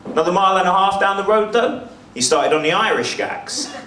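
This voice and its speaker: A man's voice: high voice, lively intonation, fairly quick, bright, forceful tone. A young man telling stand-up stories to a live room. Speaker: stand-up comedian. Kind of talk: stand-up storytelling. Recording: echoey venue, distant mic, faint noise.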